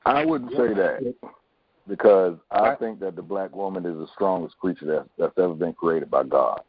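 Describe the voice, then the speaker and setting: A man's voice: low-pitched, moderate pace, husky, no room sound. A man giving his opinions reflectively as a guest in a conversational panel discussion. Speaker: podcast guest. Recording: tinny phone-like sound, very dry.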